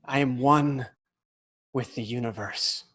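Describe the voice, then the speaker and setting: Slow, bright, cocky little brother. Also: male voice, medium pitch, husky. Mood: mock-solemn. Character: cocky, mock-solemn little brother kung fu hero. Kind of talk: sitcom script table read. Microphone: video call.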